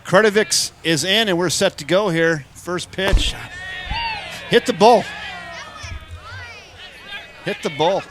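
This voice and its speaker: A man's voice: sits high, slow, long pauses, noisy background. A man calling a baseball game, joking easily with his partner, his voice a bit tired. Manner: joking, easygoing banter. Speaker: play-by-play broadcaster. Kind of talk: casual baseball commentary. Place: outdoor ballpark.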